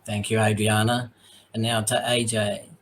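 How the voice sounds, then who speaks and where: A man's voice: fairly low pitch, little pitch movement, a bit bright. Polite man hosting an online ceremony. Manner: measured and polite, with frequent ums. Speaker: mayor presiding over a citizenship ceremony. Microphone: video call.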